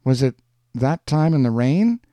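A man voicing a coy, evasive woman who teases a suitor in a slow, noncommittal way, in a story reading. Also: medium pitch, big pitch swings, no room sound, highs cut off, pitched above the performer's own voice.

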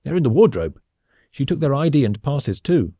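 A man's voice: mid-range voice, very animated, moderate pace, mellow, breathy edge, no echo, clean studio sound, voice pushed up. A man voicing a flustered middle-aged scientist in an audiobook, an agitated and deferential underling. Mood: agitated, indignant. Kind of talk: audiobook character acting.

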